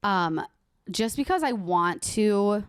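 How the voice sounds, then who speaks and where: A woman's voice: medium pitch, expressive, slow pace, clear, resonant tone, no echo, clean studio sound. Frank, swearing young woman podcast host. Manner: frank, swears freely, laughs between lines. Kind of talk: casual chat.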